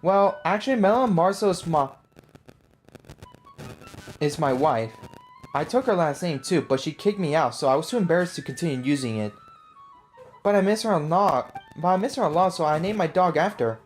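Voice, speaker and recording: A woman's voice: lowish voice, expressive, moderate pace, pauses often, darkish tone, soft background music, close to an everyday voice, rough recording. A woman voicing a lovelorn, stubbornly loyal husband from a video game in a dramatic, defensive manner. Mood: wistful.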